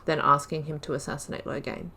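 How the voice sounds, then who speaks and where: A woman's voice: deep voice, level tone, quick pace, pushed and bright. Chatty woman thinking aloud over gameplay. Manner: thinks aloud, rambling, corrects herself mid-sentence; casual and chatty with slangy asides. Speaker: gaming channel host. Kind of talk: let's-play commentary.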